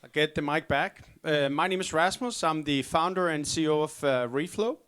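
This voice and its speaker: A man moderating a panel session in a relaxed, informal, chatty way. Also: on the high side, moderate pace, clear, resonant tone.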